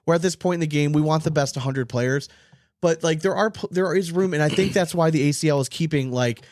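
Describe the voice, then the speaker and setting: A man's voice: fairly high pitch, brisk, a little mellow, dry recording. A man hosting a casual sports podcast, talking emphatically and opinionatedly and swearing freely. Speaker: podcast host.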